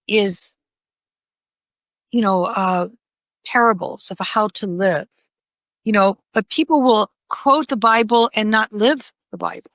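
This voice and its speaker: A woman's voice: mid-range voice, slow, long pauses, fairly bright tone, no room sound. An older woman whose first language is Greek, talking as a podcast guest in a rambling way full of "you know" fillers. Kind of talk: conversational interview.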